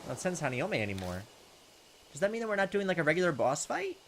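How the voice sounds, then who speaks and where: A man's voice: a little high, very animated, fast. A man giving casual, chatty commentary on a video game, reading its text aloud and talking back to it. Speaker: gaming video host. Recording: music behind the voice. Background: video game music.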